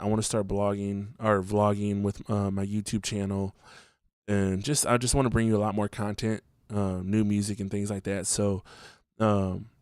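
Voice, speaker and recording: A man's voice: lowish voice, darkish tone, clean tone, no echo, clean studio sound. A man hosting his own podcast alone, talking casually with lots of ums and restarts, a little stuffy from allergies. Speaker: podcast host. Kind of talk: solo podcast monologue. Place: home, just woke up.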